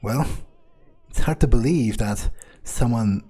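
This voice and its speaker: A man's voice: mid-range voice, slow pace, dark tone, breathy edge. A man roleplaying a cynical, self-serving male vampire in a game playthrough, improvising in a hesitant, muttering, dismissive way. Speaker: gamer roleplaying his character. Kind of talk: in-character game commentary, improvised.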